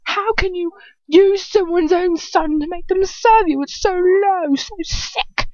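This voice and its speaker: A hot-headed teenage boy, acted by a woman reading aloud, indignant and desperate. His storybook lines come out high, sputtering and incredulous, in a dry recording. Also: a little fast, warm tone, dry recording, dull audio. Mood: outraged.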